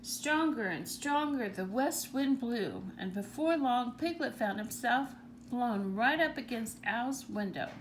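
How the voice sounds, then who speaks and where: A woman reading a children's storybook aloud in an animated narrating style. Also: a little high, a little slow, slight background noise, low-quality recording. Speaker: storybook reader.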